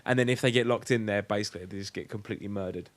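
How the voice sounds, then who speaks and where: A man's voice: fairly low pitch, very fast, no echo. A British man co-hosting a podcast with casual, sweary, rambling comic banter, sounding tired and tipsy. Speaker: podcast co-host.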